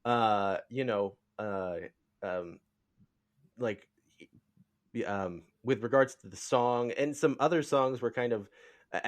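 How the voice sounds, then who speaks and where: A man hosting a podcast in casual interview chat, speaking haltingly and rambling as he searches for words. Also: lowish voice. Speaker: podcast host.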